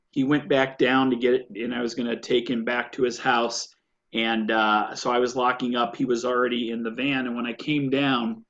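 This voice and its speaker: A man's voice: medium pitch, a bit bright. Muffled, hesitant American man. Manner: hesitant, halting, earnest. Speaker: panelist. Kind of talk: personal storytelling in a panel discussion. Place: remote video call. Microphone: video call. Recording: muffled lo-fi video call audio.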